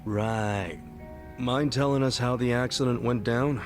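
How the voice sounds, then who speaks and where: An actor voicing a street-smart, skeptical sidekick in a video game, casual, blunt and wry. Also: male voice, medium pitch, a little slow, soft background music, natural voice. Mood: wry, challenging. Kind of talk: video game cutscene dialogue.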